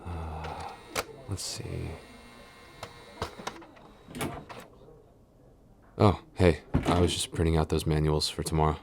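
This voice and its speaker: A man playing a shy, easily flustered tsundere boyfriend and office coworker in an intimate audio roleplay, with a halting, stammering delivery. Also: very deep voice, flat delivery, noisy background. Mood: casual, preoccupied.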